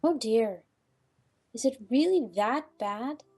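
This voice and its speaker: Woman as an elegant, lilting high-voiced unicorn singer. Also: expressive, slow pace, exaggerated voice. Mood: concerned, sweet. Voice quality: smooth. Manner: gentle, solicitous. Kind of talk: audio drama acting.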